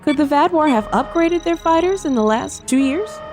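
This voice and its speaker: A woman's voice: high-pitched, animated, moderate pace. A woman playing an alert, dryly humorous starship bridge officer in a fan audio drama, with music behind her.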